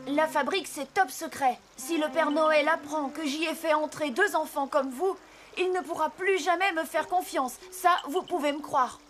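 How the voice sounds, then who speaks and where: A woman's voice: sits high, moderate pace, a little hiss or hum, close to an everyday voice. A woman voicing an earnest young orphan boy who is Santa's apprentice in a French children's cartoon, with a boyish, emphatic delivery. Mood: firm, stubborn.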